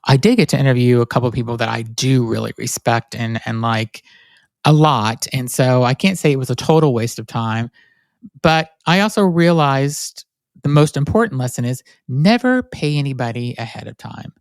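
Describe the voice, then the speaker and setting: A man's voice: medium pitch, expressive, moderate pace, creaky, no echo, clean studio sound. Nasal-voiced man hosting a podcast. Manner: candid and rambling, many fillers and restarts. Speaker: podcast host. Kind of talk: solo reflective podcast monologue.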